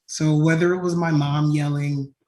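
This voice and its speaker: A man's voice: fairly high pitch, level tone, normal speed, a little mellow. A man presenting his company at a design conference, often ending points with a questioning "right?". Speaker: tech company founder presenting. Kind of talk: conference talk. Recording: roomy echo.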